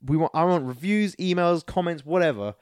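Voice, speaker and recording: A Scottish man co-hosting a podcast, chatting casually and playfully about films and games in an informal, excitable way. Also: fairly high pitch, a little fast, no echo, clean studio sound. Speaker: podcast co-host.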